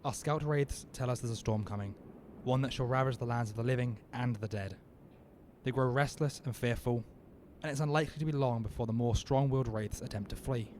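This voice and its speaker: A man playing a grave necromancer businessman in an audio drama, formal, measured and deferential. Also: medium pitch, a little mellow, slight background noise, close to an everyday voice. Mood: polite, ominous. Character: smooth, grave necromancer businessman, politely ominous.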